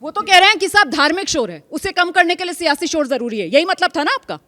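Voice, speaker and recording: Female TV debate moderator, very high voice, rapid delivery, presses guests with pointed questions. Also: expressive, pushed and bright. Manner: challenging, interrupting with pointed questions. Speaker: news debate host. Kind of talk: live TV political debate moderation.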